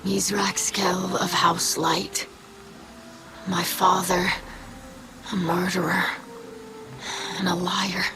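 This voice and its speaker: A woman voicing an earnest, dutiful young alien scribe in a video game, with formal, measured diction and wounded idealism. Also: lowish voice, level tone, music in the background. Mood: hurt, bitter. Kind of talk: dramatic video game cutscene acting.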